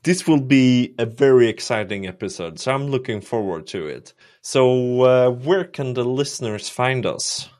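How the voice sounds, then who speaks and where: A man's voice: mid-range voice, expressive, measured pace, few pauses, darkish tone. A man with a Swedish accent hosting a podcast, easygoing and agreeable in casual chat. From Sweden. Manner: easygoing, agreeable, many yeahs. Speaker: podcast host.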